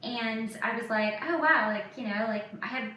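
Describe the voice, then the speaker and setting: Echoey, bright-voiced woman chatting candidly. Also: fairly high pitch, moderate pace. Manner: candid, emphatic, peppers speech with "like". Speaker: podcast host. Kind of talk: casual, confessional podcast chat. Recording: roomy echo, distant mic, faint noise.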